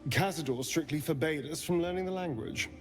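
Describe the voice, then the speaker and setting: An actor playing a bitter, sardonic vampire spawn in a video game, with a theatrical, biting delivery. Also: male voice, on the high side, brisk, lightly acted. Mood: wry, wary. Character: sardonic, bitter male vampire spawn, two centuries old. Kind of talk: video game character acting. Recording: game music under the voice.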